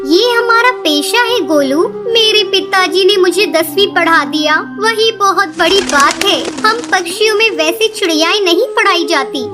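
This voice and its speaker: A sweet, eager young bird girl from a cartoon. The voice is extremely high and childlike, with an earnest manner. Music plays clearly behind it. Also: moderate pace, few pauses. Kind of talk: Hindi cartoon story acting. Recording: music under the voice.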